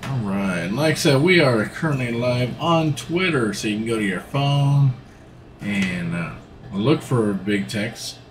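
Slow, easygoing man streaming. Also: medium pitch, expressive, slight background noise. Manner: easygoing, rambling, talks while playing. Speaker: livestreamer. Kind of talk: casual gaming livestream commentary. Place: home room, seated in a gaming seat.

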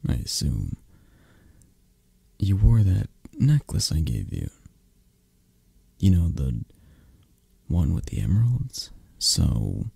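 A man playing a possessive, menacing mafia boss lover in an ASMR roleplay, with a breathy, gravelly voice and a halting, condescending manner. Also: low voice, mellow, no room sound, full character voice. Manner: slow, halting, controlling, condescending. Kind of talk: ASMR roleplay, intimate close-up acting.

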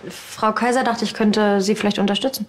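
Woman as eager, quick-talking young policewoman. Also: mid-range voice, fairly even intonation, natural voice. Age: young adult. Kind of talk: TV crime drama acting.

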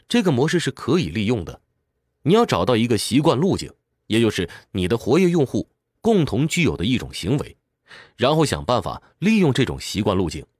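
A man narrates an explanatory script with highly animated, swinging intonation. He lays out points in an orderly, instructive manner, like a book-summary presenter. The recording is dry and close, with a mid-range voice. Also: no room sound.

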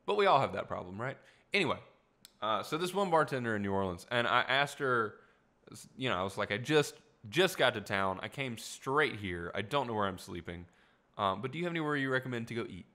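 A man's voice: mid-range voice, lively intonation, a little fast, bright, forceful tone. A young man hosting a casual solo podcast in a small room at home, talking in a rambling, reflective way with many fillers, his voice a little tired. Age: young adult. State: voice tiring. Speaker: podcast host. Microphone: studio mic in front of him.

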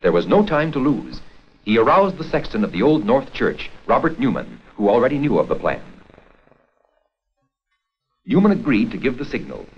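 A man reading scripted documentary narration for an educational history film in a formal, measured storytelling manner. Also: medium pitch, very animated, slightly rough. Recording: dull 16mm film soundtrack with music.

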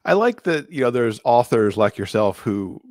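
A man's voice: mid-range voice, sing-song, moderate pace, a little mellow, dry recording. A middle-aged American man hosting a conversational author interview, speaking into a close microphone. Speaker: podcast co-host interviewing an author. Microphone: large close microphone, video call.

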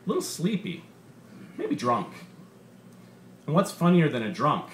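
A man's voice: fairly high pitch, sing-song, unhurried. A man voicing a bitter, sardonic robot stand-up comedian with a profane, punchy, menacing delivery. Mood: sly, menacing. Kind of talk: stand-up comedy routine in a short story reading. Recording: roomy bar with faint background noise.

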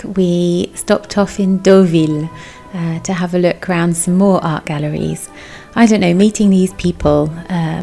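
A woman's voice: fairly low pitch, creaky, mellow. A woman in her thirties giving a chatty voice-over for her vlog. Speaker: vlogger. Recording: background music. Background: music.

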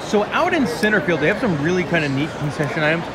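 A man's voice: a little high, big pitch swings, fast talker. A man giving an informal, enthusiastic walk-and-talk vlog narration, casually peppering his sentences with "like.". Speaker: vlog host reviewing a ballpark. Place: busy baseball stadium concourses and shops. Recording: loud crowd and stadium noise. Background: loud crowd chatter and venue noise.

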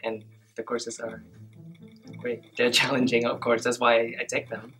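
A man's voice: mid-range voice, completely flat delivery, measured pace, fairly bright tone. A young man, a student speaking thoughtfully in an interview. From Vietnam. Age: late teens. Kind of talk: interview testimonial. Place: school library with bookshelves, indoors. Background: background music.

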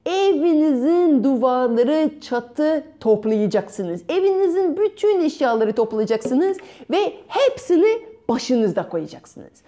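High-voiced woman telling a fairy tale, unhurried. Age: middle-aged. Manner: expressive, dramatic pauses. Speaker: children's storyteller. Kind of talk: performed fairy-tale storytelling. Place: bright room at home by a window. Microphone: small clip-on lapel mic.

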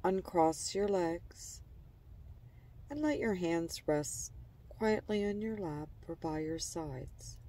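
Slow, mellow woman guiding relaxation. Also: fairly low pitch, distant mic. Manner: calm, gentle, soothing, with trailing phrase endings. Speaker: guided meditation narrator. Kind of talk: slow guided imagery relaxation narration.

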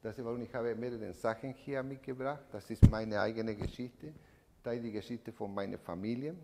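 A man in his sixties from Chile with a Chilean Spanish accent, giving a personal testimony to an audience, searching haltingly for words in a language not his native Spanish. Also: medium pitch, fairly even intonation, very noisy. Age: 60s. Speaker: eyewitness speaker giving a testimony. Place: indoor event room, standing at a lectern. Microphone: microphone on a stand. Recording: reverberant hall.

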